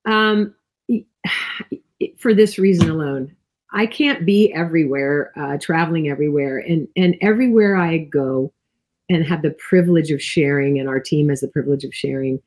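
Woman, 60s, husky and lowish voice, fervent ministry-speaker manner, webcam call. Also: normal speed. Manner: emphatic, expressive, fervent preaching tone. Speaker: guest, healing minister and author. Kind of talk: animated testimony chat. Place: home office with bookshelves. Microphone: video call webcam.

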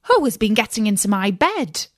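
A woman's voice: mid-range voice, big pitch swings, normal speed, no echo, studio quality. A woman voicing fussy, kindly little male dwarfs in an exclaiming manner for a children's storybook. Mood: indignant, puzzled. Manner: exclaiming, questioning.

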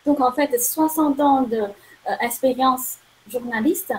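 A woman's voice: high-pitched, slow pace, a little hiss or hum, rough recording. A young woman hosting an interview over a video call, asking her questions hesitantly with many fillers. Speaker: host. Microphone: video call webcam.

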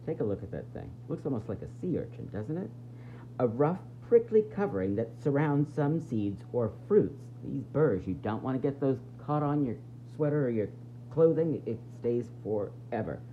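Very low-voiced woman teacher, lively read-aloud. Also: moderate pace, phone-quality sound, slight background noise. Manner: expressive, explanatory, questioning the listeners. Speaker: teacher reading to young pupils. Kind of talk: read-aloud of a children's nonfiction book with explanations.

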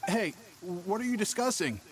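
A man's voice: high voice, lively intonation, moderate pace. A man voicing a nervous, shifty young merchant in a video game, hesitant and evasive. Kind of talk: scripted video game voice acting.

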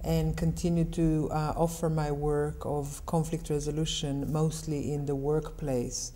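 Slow, low-voiced, calm woman. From Israel. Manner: calm, measured, explanatory. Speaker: interview guest. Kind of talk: unhurried interview talk.

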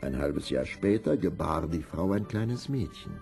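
A man narrating a scripted fairy tale in a measured, expressive storytelling manner. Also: deep voice, creaky, music in the background.

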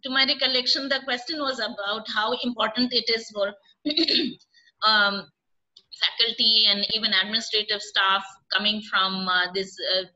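A middle-aged woman speaks as a panelist over a laptop webcam from her room at home. Her voice is very bright and a little high. She thinks aloud, pausing on fillers and restarting her sentences. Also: steady tone, dull audio. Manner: thoughtful, hesitant, many fillers and restarts. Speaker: panelist, professor. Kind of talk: panel discussion on a video call. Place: room at home with orange curtains. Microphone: laptop webcam on video call.

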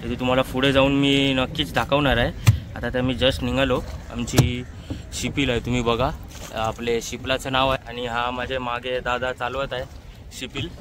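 A man's voice: mid-range voice, flat delivery, moderate pace. A man with a Mumbai accent giving casual vlog commentary, repeatedly pointing things out to viewers. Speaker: vlogger. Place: outdoors on a small open boat on a creek. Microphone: handheld phone. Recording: noisy outdoor boat recording.

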